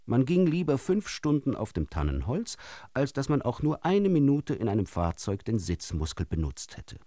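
An actor playing a wry storyteller in a musical radio play, reciting deliberately and theatrically with pointed pauses. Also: male voice, mid-range voice, huge pitch swings, warm tone, dry recording. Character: wry male storyteller of absurd fairy tales. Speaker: narrator.

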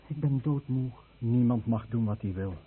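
An actor playing an exhausted, agitated villager in a radio drama, insistent and husky. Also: male voice, medium pitch, moderate pace, slight background noise, lightly acted. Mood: weary. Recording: old radio play, tinny narrow band.

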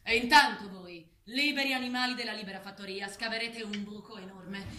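A woman's voice: medium pitch, brisk, pushed and bright, a little hiss or hum, close to an everyday voice. A woman playing a commanding, rousing farm animal revolutionary leader with theatrical declamation. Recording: roomy theatrical recording with music behind.

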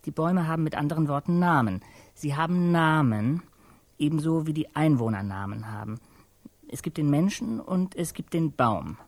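An actor playing a solemn, reverent man in a radio drama, speaking in a measured way with hushed emphasis. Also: on the high side, expressive, dry recording. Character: solemn, reverent speaker musing on sacred life. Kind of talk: German radio drama dialogue.

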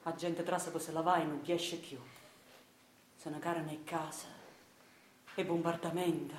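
A woman performs a fierce washerwoman prophetess lost in delirium. The voice sinks low into a mournful Neapolitan chant, made for radio theatre. It sits in a very roomy sound with slight noise. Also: level tone, natural voice. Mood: mournful trance. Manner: slow, incantatory lament.

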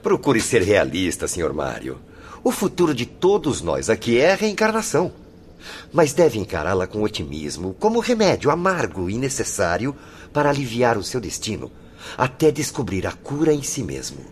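An actor in a radio drama playing a kindly male spirit counselor, measured, solemn and paternal as he gently admonishes. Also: fairly low pitch, wildly swooping pitch, a little hiss or hum. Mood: gently admonishing.

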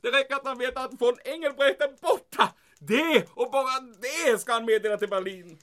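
High-voiced, agitated German military commandant. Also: male voice, fairly bright tone. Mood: agitated, insistent. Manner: emphatic, formal, sprinkles in German words. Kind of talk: comic audio drama acting.

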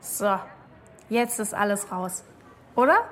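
A woman's voice: medium pitch, expressive, slow pace, slight background noise. A woman acting in a radio drama as a cheerful young best friend, playful, teasing and consoling.

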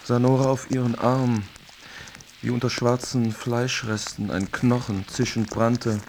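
A man's voice: medium pitch, little pitch movement, measured pace, a little mellow, slight background noise, close to an everyday voice. An actor playing a young man who narrates a bleak love tragedy in a matter-of-fact way, in a literary radio drama. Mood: grim narration. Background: music behind the voice.

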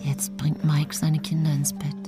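An actress playing a cool, restless cellist wife in a naturalistic radio drama. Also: female voice, low voice, monotone, measured pace, loud music behind the voice. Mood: secretive.

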